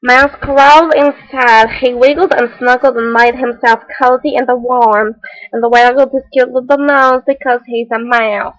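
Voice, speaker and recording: Lady doing a really high voiced kids book reader, fake foreign accent, earnest and odd, parody storytime. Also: steady tone, measured pace, natural voice, poor audio. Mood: quirky storytelling. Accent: mock foreign. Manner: exaggerated storytelling with odd, solemn asides. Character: Jo, quirky, earnest children's read-aloud hostess. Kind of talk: parody storybook read-aloud with deadpan asides.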